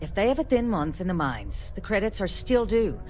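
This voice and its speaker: An actress playing a sly, brash fixer for a space trading company in a video game, with a British accent and a cheeky, blunt, knowing manner. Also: female voice, medium pitch, very animated, moderate pace, over music, natural voice. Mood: sly, confiding.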